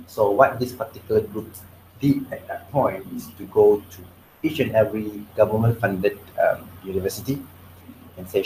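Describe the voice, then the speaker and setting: A man's voice: lowish voice, a little mellow, a little hiss or hum. A man with a Malaysian English accent, a commercialization specialist who is losing his voice, giving a conversational workshop talk and quizzing the audience. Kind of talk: workshop presentation. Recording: echoey room, faint ambience.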